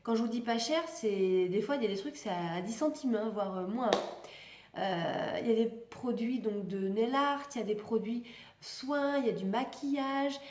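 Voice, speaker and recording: A woman's voice: on the high side, normal speed, darkish tone, roomy sound, a little hiss or hum. Chatty woman with a stuffy cold. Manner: chatty, rambling, self-correcting, laughs often. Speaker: beauty vlogger. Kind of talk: casual product-testing vlog.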